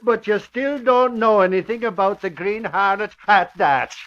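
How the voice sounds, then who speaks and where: An actor playing an excitable, talkative bodyguard in a 1940s radio drama, emphatic and eager as he tells things. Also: high-pitched, normal speed. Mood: scoffing. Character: excitable, talkative Irish ex-policeman bodyguard and sidekick. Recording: muffled old radio recording.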